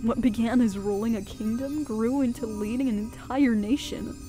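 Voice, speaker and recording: A woman playing a guilt-ridden pony queen in a radio drama, speaking in a halting, emotional way. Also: a little high, dark tone, faint music behind, close to an everyday voice. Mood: regretful, sorrowful. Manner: halting, emotional, anguished delivery. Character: grieving, guilt-ridden pony queen, gentle elder royal sister. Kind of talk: dramatic radio play acting.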